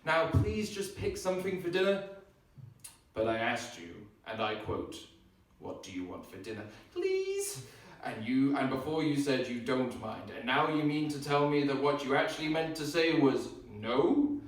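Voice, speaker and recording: A man's voice: medium pitch, sing-song, normal speed. A man performing a live spoken-word comedy set, rattling through chaotic, rambling wordplay and acting out both sides of an argument. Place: live event venue on stage. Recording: very echoey room, mic at a distance.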